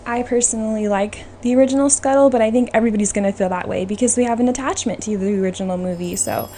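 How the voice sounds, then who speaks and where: Female podcast host, mid pitch, informal and opinionated, conversational delivery over light ambient noise. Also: fast, warm tone. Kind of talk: casual chat about films. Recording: noisy room ambience behind the voice.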